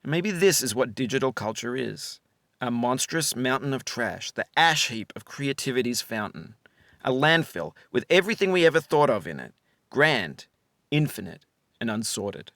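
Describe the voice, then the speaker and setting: Expressive man narrating, close and dry. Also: medium pitch, dry recording. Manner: measured, philosophical, articulate. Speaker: game developer narrating his own game. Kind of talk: reflective scripted narration, essay-like.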